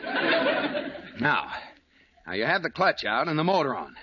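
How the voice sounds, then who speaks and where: An actor playing a teasing, sarcastic, exasperated suburban husband in a 1950s radio sitcom. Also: male voice, on the high side, huge pitch swings, slow pace, full character voice. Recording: muffled, noisy old radio recording.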